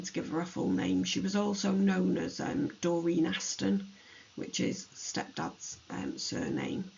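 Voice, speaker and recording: A podcast host with a hoarse, gravelly voice from allergies, narrating a true-crime history thoughtfully with many pauses. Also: mid-range voice, lo-fi sound, slight background noise.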